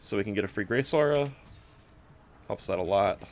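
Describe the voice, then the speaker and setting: A man's voice: on the low side, animated, normal speed, slight background noise. A man giving casual gameplay commentary as a streamer, offhand and a little hesitant, thinking aloud as he goes. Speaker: streamer showing a game character build.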